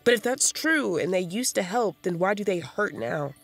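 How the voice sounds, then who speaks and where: An actress playing a troubled, self-loathing addict in an audio drama, with a raw, halting, conversational delivery, defensive and despairing. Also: female voice, medium pitch, lively intonation, faint music behind. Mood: confused, frustrated. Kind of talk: audio fiction drama acting.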